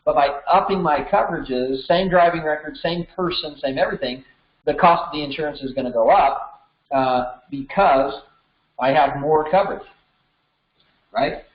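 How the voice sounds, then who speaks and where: A man's voice: on the high side, moderate pace. Man, thirties, American accent, conversational instructor, step-by-step explanations, roomy slightly muffled recording. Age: 30s. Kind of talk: informal explanatory lesson. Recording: roomy echo, slightly dull sound.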